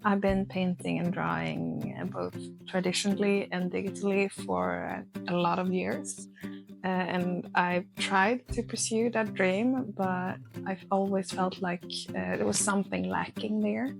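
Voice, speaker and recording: A woman's voice: mid-range voice, fairly even intonation, a little slow, creaky. A young woman with a Swedish accent, vlogging about her art, hesitant, with many fillers. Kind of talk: casual vlog talk to camera. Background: soft guitar music.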